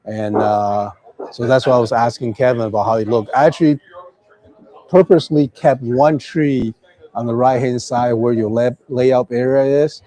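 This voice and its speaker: A hoarse man with a raspy voice, hosting a casual live golf chat with easygoing talk full of fillers and restarts. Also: mid-range voice, steady tone, moderate pace, dark tone. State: hoarse from days of talking. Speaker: golf club host.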